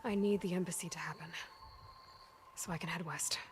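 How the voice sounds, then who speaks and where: A woman's voice: lowish voice, fairly even intonation, a little fast, slight background noise, lightly acted. A woman acting a determined, guarded young warrior in a video game cutscene, earnest, blunt and restrained. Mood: grave, insistent. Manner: earnest, restrained, often hushed.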